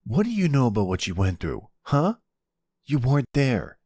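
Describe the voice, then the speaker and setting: Man acting protective, defensive son with lively pitch. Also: normal speed, husky, no room sound, clean studio sound. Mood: bitter, angry. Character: protective adult son, defensive, bitter, gruff but loving. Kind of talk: ASMR roleplay audio drama.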